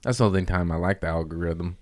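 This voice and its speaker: A man's voice: low voice, fast talker, a little mellow, no room sound. An American man in his thirties chatting casually on a comedy podcast in a loose, slangy, rambling way, joking as he goes.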